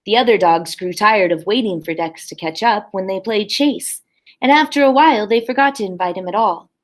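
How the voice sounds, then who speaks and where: A woman's voice: mid-range voice, a little fast. A woman kindergarten teacher reading a picture book aloud expressively for young children, with simple explanatory asides.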